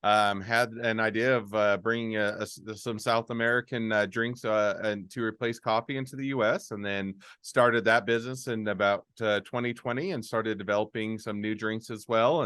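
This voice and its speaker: Low-voiced man hosting a podcast. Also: steady tone, normal speed, few pauses, a bit bright, clean tone, no echo, clean studio sound. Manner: rambling, restarts questions often. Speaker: podcast host. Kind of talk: casual interview.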